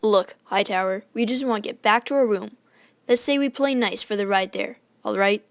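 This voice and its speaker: A woman playing a proud, hot-tempered gentleman in a radio drama, formal and combative in manner. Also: mid-range voice, normal speed, no echo, studio quality, natural voice. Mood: irritated.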